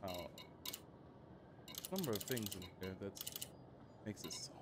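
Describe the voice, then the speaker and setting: A man's voice: on the low side, big pitch swings, unhurried. A man giving casual, rambling, self-deprecating live commentary as he streams a game. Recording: clear background noise.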